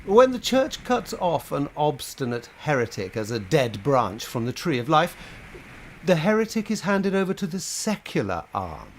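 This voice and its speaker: A man playing a proud, zealous French bishop in a radio drama, speaking in a forceful, rhetorical, indignant manner. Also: on the high side, wildly swooping pitch, normal speed, slight background noise. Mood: righteous, scornful. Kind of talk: stage-style radio drama acting.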